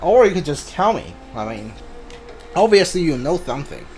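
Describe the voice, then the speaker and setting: A man's voice: fairly high pitch, sing-song, moderate pace, a little mellow. A man giving casual video game commentary, musing aloud in short reactions as he plays. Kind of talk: casual let's play commentary. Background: game music.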